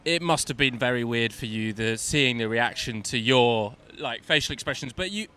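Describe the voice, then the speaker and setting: A man's voice: medium pitch, lively intonation, moderate pace, pushed and bright. A man hosting a cycling podcast, asking upbeat, chatty questions in a roadside interview. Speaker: podcast host.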